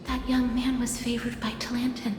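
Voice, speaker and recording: A woman playing a solemn, melancholy young woman tied to death in a fantasy game, speaking in grave, measured, formal phrases. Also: fairly high pitch, monotone, background noise, lightly acted. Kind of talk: scripted fantasy game voice acting. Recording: roomy reverberant hall, music behind.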